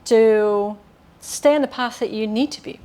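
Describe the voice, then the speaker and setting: A woman's voice: on the high side, a little slow, dark tone. A woman hosting a podcast, chatting casually and backing her guest with affirming remarks. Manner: supportive, affirming interjections. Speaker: podcast host. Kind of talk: casual interview chat.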